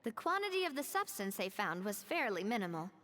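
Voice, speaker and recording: A woman voicing a friendly, composed young woman who explains things in a measured way in a video game. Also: medium pitch, sing-song, fairly bright tone, in a large hall. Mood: explanatory. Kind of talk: anime-style video game voice acting.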